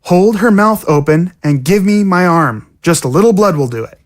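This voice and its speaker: Woman acting little boy zombie, inquisitive, matter-of-fact, animated intonation, audio drama. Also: on the low side, normal speed, natural voice. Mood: urgent.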